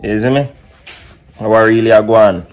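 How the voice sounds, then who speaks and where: A man's voice: fairly low pitch, fairly even intonation, normal speed. A young man with a Jamaican accent chatting casually in patois for a family vlog, often saying "you see me". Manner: laid-back patois talk with repeated "you see me". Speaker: vlogger. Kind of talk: casual chat.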